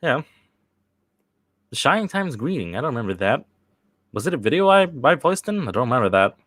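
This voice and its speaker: A young man from Sweden talks loosely to his live chat, reading questions aloud. He stumbles, restarts and fills gaps with "uh" and "like". His voice sits at an ordinary pitch, recorded close on a desk mic with no room echo. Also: sing-song, fairly bright tone. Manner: rambling, halting, with many restarts and fillers. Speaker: channel host answering live chat questions. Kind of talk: casual livestream chat.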